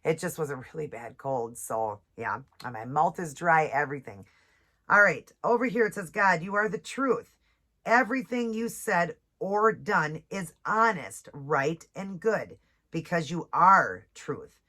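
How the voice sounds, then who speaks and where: A woman with a low, stuffy voice, still getting over a cold. She chats casually to her viewers. She reads devotional lines at an unhurried pace. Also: expressive, sharp tone. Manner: chatty, reads scripture slowly, apologizes often. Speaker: nail and devotional vlogger. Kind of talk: casual chat with devotional reading. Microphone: ring light setup, camera close.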